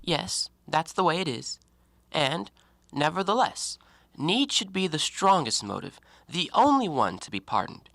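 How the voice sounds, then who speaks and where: A man playing a talkative, educated and self-righteous gentleman antiquarian in an audio drama, given to theatrical, argumentative speeches. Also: on the high side, very animated, unhurried, vocal fry, no room sound, full character voice. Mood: firm, reasoning. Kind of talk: stage-play acting in an audio drama.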